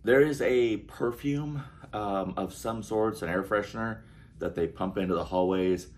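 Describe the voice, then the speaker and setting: A man's voice: on the low side, measured pace. A man in his forties giving a casual, upbeat walk-through review of a hotel room, recorded on a handheld phone indoors. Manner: chatty, upbeat walk-through with frequent asides. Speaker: casino vlogger. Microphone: handheld phone camera.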